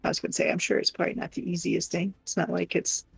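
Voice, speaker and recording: A woman with a gravelly, husky voice chats casually on a livestream. She rambles and giggles as she goes, over faint background music. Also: on the low side, completely flat delivery, quick pace, no echo. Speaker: live stream co-host. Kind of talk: casual livestream chat while crocheting.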